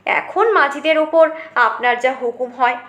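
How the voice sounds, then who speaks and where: A woman's voice: high voice, a little slow, a bit bright, roomy sound. A woman reading a short story aloud as dramatic scripted narration.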